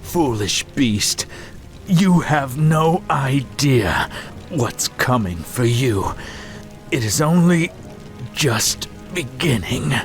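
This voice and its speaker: A man's voice: mid-range voice, animated, extremely slow, soft background music. A man playing a haughty, villainous human assassin in a fantasy video game, contemptuous and theatrical. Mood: menacing.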